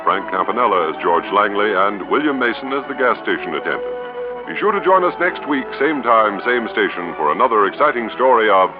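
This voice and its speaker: A man's voice: lowish voice, expressive, a little fast. A man announcing on an old-time radio show, reading scripted credits in a formal, polished delivery. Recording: narrow-band old radio broadcast. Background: loud music.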